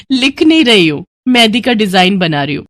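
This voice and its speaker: A woman's voice: a little high, sing-song, a little slow, fairly bright tone, no room sound, studio quality, voice pushed up. A woman voicing a cheerful young woman in a story, with a coaxing, girlish, eager manner. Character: sweet, cheerful young daughter who loves henna designing.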